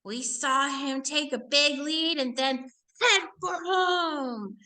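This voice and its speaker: A woman reading a children's book aloud in an expressive, emphatic teaching manner with casual asides. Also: sits high, slow pace.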